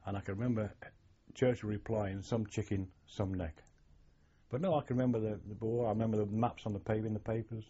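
An older man tells his memories in a wry, reflective way. His voice is low, husky and mellow. It comes through the dull sound of an old archive tape. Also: fairly even intonation, a little fast. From England. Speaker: oral history interviewee, former navy sailor. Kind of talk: reminiscing oral history interview.

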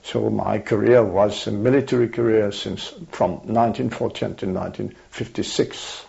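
An older man with a French accent, a native French speaker, recalling his life in an oral history interview, pausing often with fillers and restarts. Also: on the low side, steady tone, vocal fry, warm tone. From France. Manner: hesitant, many fillers and restarts. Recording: dull lo-fi archival tape.

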